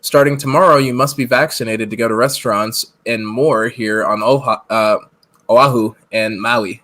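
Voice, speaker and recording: A man's voice: mid-range voice, fairly even intonation, moderate pace, fairly bright tone. A man in his thirties co-hosting a casual live-stream podcast from home, laughing often and reading the chat aloud. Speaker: podcast co-host. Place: home room, on video call.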